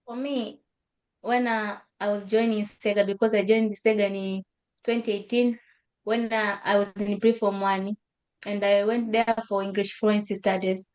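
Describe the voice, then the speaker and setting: A young woman from Africa, sharing her story on a webinar call in halting English with many fillers and repeated phrases. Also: medium pitch, little pitch movement, a little mellow, low-quality recording. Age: young adult. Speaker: school graduate. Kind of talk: webinar testimony.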